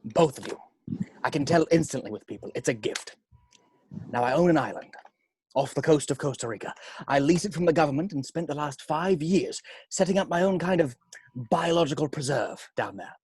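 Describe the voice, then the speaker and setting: A man's voice: on the high side, fairly quick, darkish tone, husky. A man playing a charming, brash seventy-year-old tycoon in a script table read, with a jovial, showy delivery. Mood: boastful, confiding.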